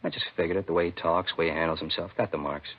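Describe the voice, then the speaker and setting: A man's voice: low voice, fairly even intonation, rapid-fire, breathy edge. An American actor playing an easygoing, evasive suspect who loves his food, nonchalant and slangy in 1950s radio style. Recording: muffled old radio recording.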